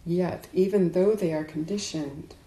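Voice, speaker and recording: A woman giving a dharma talk to a group as a Buddhist teacher, speaking in a measured, deliberate way with emphatic pauses. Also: lowish voice, fairly even intonation, dark tone. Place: indoors in a room. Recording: echoey room.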